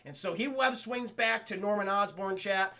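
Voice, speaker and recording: A man's voice: high voice, moderate pace, vocal fry, pushed and bright. A man streaming a casual, profane scene-by-scene cartoon recap, stammering and addressing his viewers.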